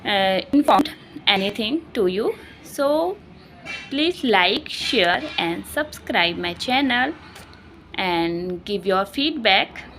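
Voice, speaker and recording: Slow, earnest woman. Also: mid-range voice, lively intonation, fairly bright tone, soft background music. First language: Hindi. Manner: earnest and halting, peppered with "okay". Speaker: English-learning channel host. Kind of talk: casual vlog talk to camera.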